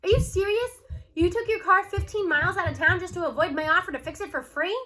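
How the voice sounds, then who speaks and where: A woman's voice: very high voice, fast talker, bright, forceful tone, voice pushed up. A woman voicing a brash, good-natured man who runs a car shop in a storybook, insistent and emphatic. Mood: insistent, pleading.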